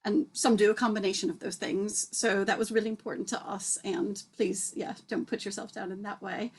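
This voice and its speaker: A woman's voice: medium pitch, steady tone, a little fast, breathy edge. A woman editor hosting an online book launch, speaking in an encouraging way. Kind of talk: casual online event chat. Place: online video call.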